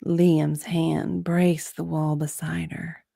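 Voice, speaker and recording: A woman's voice: sits low, flat delivery, slow, gravelly, warm tone, dry recording, studio quality. A woman narrating a romance story as a dramatic reading, in a sultry, suspenseful manner.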